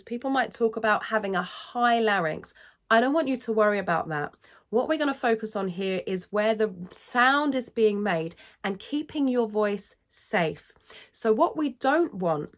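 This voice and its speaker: Upbeat woman teaching singing. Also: fairly high pitch. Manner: upbeat and encouraging; dips into vocal fry when speaking. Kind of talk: instructional talk to camera.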